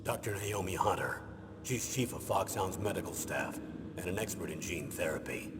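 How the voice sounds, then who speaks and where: An actor playing a stern older military commander in a video game, formal, measured and authoritative. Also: male voice, low-pitched, animated. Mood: grave, measured. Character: stern older male military commander giving a mission briefing. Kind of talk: dramatic video game voice acting. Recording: noisy, long reverberant hall sound.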